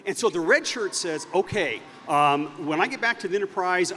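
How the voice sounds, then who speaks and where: Guy rambling thru a story on a convention stage, kinda high voice that jumps around, big echoey hall. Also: a bit bright, slight background noise. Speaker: panelist recounting unproduced TV episodes.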